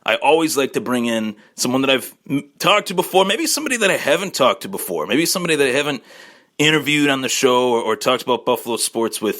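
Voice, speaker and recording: A man's voice: medium pitch, pushed and bright. A man hosting a casual sports podcast, chatting with a guest and often repeating and restarting his words. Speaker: podcast host.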